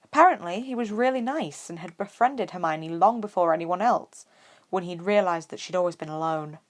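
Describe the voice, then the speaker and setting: A woman's voice: on the low side, normal speed. A woman narrating fan fiction as an audiobook reader. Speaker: podfic reader.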